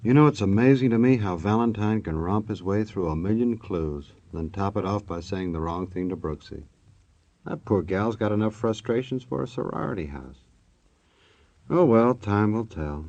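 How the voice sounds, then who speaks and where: A man's voice: lowish voice, expressive, moderate pace, a little mellow. A man with an American accent announcing an old-time radio show in a jocular, folksy way, on a muffled recording. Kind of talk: 1940s-50s radio announcing.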